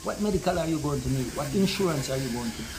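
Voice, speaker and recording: A middle-aged Jamaican man, a guest in an animated outdoor conversation, who talks emphatically and keeps asking "you see it?". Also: on the high side, fast talker, noisy background. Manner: emphatic, repeats "you see it?", gestures while talking. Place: open-air pavilion in a garden.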